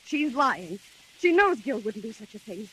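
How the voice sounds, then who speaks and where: An actress playing an indignant, panicky sister-in-law in a 1940s radio murder drama. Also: female voice, a little high, big pitch swings, normal speed, a bit bright, a little hiss or hum, poor audio. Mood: accusing, frantic.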